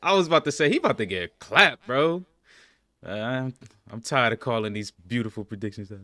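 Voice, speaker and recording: A young man talking through a TV episode with a lively, swinging delivery and a bright, forward tone. He is excitable, laughs a lot and swears freely in easy casual chat. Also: mid-range voice, fairly quick, dry recording. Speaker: co-host reacting to a TV series. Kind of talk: casual reaction commentary.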